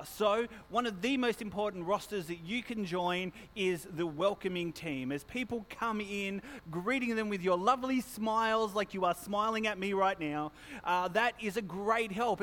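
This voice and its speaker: Loud, high-voiced pastor. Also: male voice, a little hiss or hum. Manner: chatty, self-mocking, joking with the congregation. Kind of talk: church notices and sermon. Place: church hall. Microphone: handheld or stand microphone.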